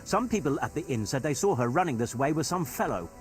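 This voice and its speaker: A man's voice: a little high, animated, fast, faint music behind, natural voice. An actor playing a timid, worried middle-aged merchant father in a medieval video game. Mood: worried, defensive. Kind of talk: naturalistic video game acting.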